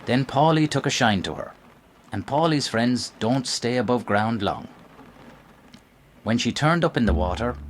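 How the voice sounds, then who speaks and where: Aging noir lieutenant played by a man, medium pitch, haunted and bitter, radio drama with faint ambience behind. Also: slight background noise, lightly acted. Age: older. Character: weary, bitter old male police lieutenant.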